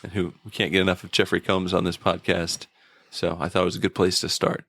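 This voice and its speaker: A man's voice: sits low, level tone, brisk, creaky, fairly bright tone, dry recording, clean studio sound. A man chatting casually as a podcaster, with some light comic role-play. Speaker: podcaster and guest in a comic radio drama.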